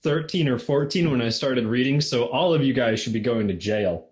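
A man's voice: mid-range voice, normal speed, crowd noise. A man of about twenty chatting casually as an interview guest, in a giggly, self-deprecating and slightly nervous way. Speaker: interview guest, amateur fan fiction reader. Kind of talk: casual chat.

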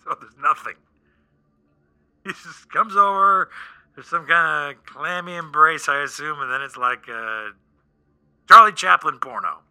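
A man's voice: a little high, unhurried, pauses often, sharp tone, clean tone, full character voice. A man acting an exasperated, sarcastic, prying Hollywood agent in a comedic radio play, talking in incredulous, rambling rants. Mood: squeamish exasperation.

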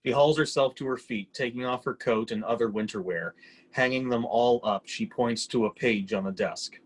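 A man's voice: mid-range voice, normal speed, slightly rough, rough recording. A man reading out stage directions as scripted narration for a readers theatre play.

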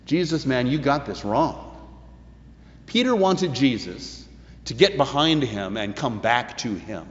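A man preaching a sermon as a pastor, phrasing his points deliberately and emphatically with some colloquial turns. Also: mid-range voice, very animated. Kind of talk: sermon with scripture commentary. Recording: echoey hall, long reverb, dull sound.

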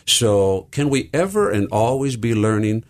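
A man who is a pastor, talking earnestly and loudly in an interview, with a preacherly manner. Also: fairly low pitch, very animated, a little slow. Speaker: pastor, guest. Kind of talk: casual interview talk. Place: studio room.

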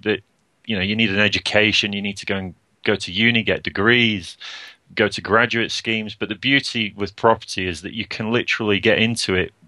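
A young man with a lowish, bright and forceful voice talks as a podcast guest. He speaks casually and hesitantly, stopping and restarting often. The sound is dry and close. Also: fairly even intonation, fairly quick, no room sound. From Scotland, raised in Portugal. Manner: hesitant, many ums and restarts. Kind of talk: casual interview chat.

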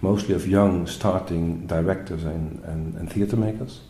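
Man, very low voice, mellow, halting with fillers, echoey room. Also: steady tone. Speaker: theater venue representative. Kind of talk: explaining in an interview.

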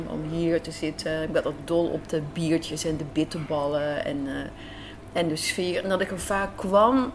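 Dutch woman chatting in a noisy café. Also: lowish voice. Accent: Dutch, from the Netherlands. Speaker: radio drama writer and director, interview guest. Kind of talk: conversational interview, storytelling. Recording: clear café background noise.

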